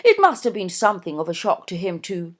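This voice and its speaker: Casting: courteous young gentleman sleuth, calm and measured, voiced by a woman. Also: lowish voice. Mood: polite. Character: polite, cautious young gentleman investigator.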